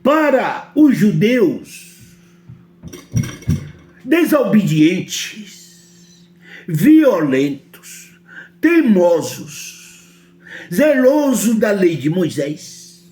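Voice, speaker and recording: A man's voice: sits high, very animated, drawn-out words, long pauses, a little mellow. A man from Brazil with a Brazilian Portuguese accent, preaching a sermon emphatically and often asking his listeners whether they understand.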